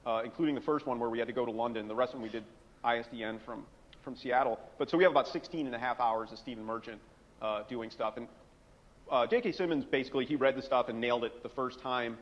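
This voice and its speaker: A man's voice: mid-range voice, quick pace. A man on a conference panel telling anecdotes with lots of fillers, heard in a roomy hall on a dull recording. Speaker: game writer on a conference panel. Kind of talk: panel talk.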